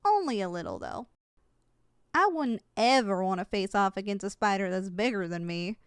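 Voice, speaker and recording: A young woman playing a kind, caring giantess in an ASMR roleplay, speaking in a gentle, affectionate, conversational way. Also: medium pitch, animated, normal speed, clean tone, dry recording, studio quality, close to an everyday voice. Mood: candid, wistful. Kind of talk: ASMR roleplay, intimate character acting.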